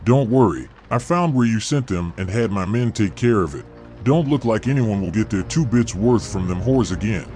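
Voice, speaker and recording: A sneering, brutal Old West outlaw boss from a storybook, put on by a male narrator. He talks in a loud, menacing drawl, pitched a little above the reader's own deep voice and with a different, rougher tone. Music plays clearly underneath. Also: animated, normal speed, lightly acted. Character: sadistic, whiskey-soaked Old West gang boss, male. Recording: music playing behind.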